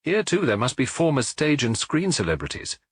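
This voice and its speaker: Man doing a wry thoughtful middle-aged detective, musing out loud, husky, storybook. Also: mid-range voice, very animated, a little fast, no room sound, studio quality, pitched above the performer's own voice. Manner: musing, dryly joking.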